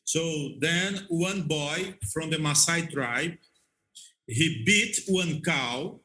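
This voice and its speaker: A man who is a non-native English speaker, telling stories as an interview guest in a halting, repetitive way and checking that he is understood. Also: a little high, bright, forceful tone, rough recording. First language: not English. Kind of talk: conversational storytelling.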